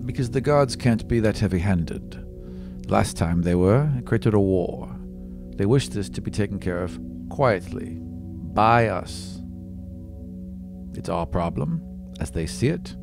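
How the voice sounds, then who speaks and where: Man playing a low-voiced, haughty young demigod. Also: expressive, creaky. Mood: matter-of-fact, aloof. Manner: terse, measured, aloof. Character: haughty, self-serving young male demigod student, coolly calculating. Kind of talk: tabletop roleplay in character. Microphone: close podcast microphone on stand. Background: instrumental music.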